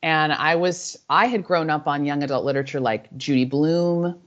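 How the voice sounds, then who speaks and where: A woman in her forties with a deep, low voice, a native Spanish speaker, answers interview questions with warm, reflective storytelling. She often restarts her sentences as she thinks aloud. The audio is slightly dull and lo-fi, like a remote call. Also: moderate pace. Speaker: author and guest.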